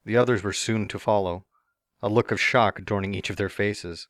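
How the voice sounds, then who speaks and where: A man's voice: on the low side, steady tone, moderate pace, a bit bright, no echo, clean studio sound. A man reading a fan story aloud as narrator, with playful, smug first-person asides.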